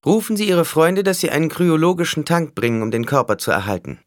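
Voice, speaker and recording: Man acting out a cunning shapeshifting hostage-taker, raised pitch, animated delivery, smug, taunting, menacing, audiobook villain. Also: a little fast, no echo, studio quality, natural voice. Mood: bargaining.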